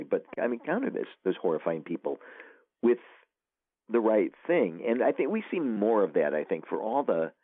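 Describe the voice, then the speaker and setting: Animated man on a tinny phone line. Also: deep voice, no room sound. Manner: rambling, many restarts and fillers, animated. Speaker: author and guest. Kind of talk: casual interview conversation.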